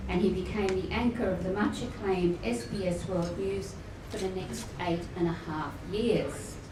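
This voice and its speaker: A woman welcoming guests at a luncheon, formal but with warm chatty asides. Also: on the low side, unhurried. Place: function room at a luncheon event. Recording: echoey room, distant mic, noisy.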